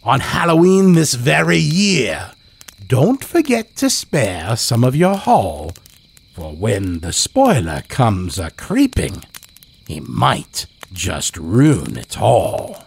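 A man voicing a gleefully sinister campfire storyteller, reciting spooky verse in a rough, drawn-out, dramatic way. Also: mid-range voice, huge pitch swings, full character voice. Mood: creepy, playful. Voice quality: gravelly, rough. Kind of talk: theatrical spooky verse narration.

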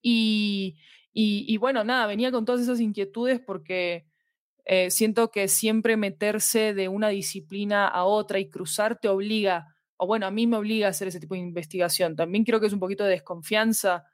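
A woman with a Latin American Spanish accent talks through her ideas in a reflective interview. Her pitch is average, and the recording is dry and close. She builds long, considered thoughts and checks in with short tag questions. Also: steady tone, normal speed, dry recording. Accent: Latin American Spanish with voseo. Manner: thoughtful, discursive, seeks agreement with tag questions. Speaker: podcast guest, fiction podcast creator.

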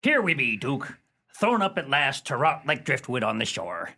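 A sentimental cutthroat of a one-eyed pirate. The voice is rough and raspy with big theatrical swings of pitch, thick with pirate dialect for a comic radio play. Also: male voice, very animated, moderate pace, bright, forceful tone, full character voice. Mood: maudlin.

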